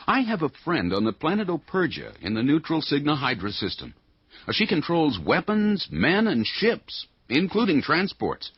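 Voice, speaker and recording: A man's voice: a little high, huge pitch swings, normal speed, slightly rough, dry recording, full character voice. A man playing an assured, easygoing officer in a sci-fi radio drama. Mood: confident. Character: confident, easygoing newcomer colonel. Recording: dull lo-fi radio play.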